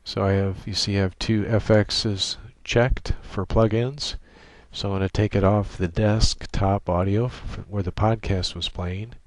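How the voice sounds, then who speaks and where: A man giving an informal, matter-of-fact technical software walkthrough as he demonstrates a setup. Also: fairly low pitch, fairly even intonation, measured pace, no room sound. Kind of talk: informal screen-share tutorial narration. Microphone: desktop microphone monitored through computer audio. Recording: clearly noisy home microphone recording.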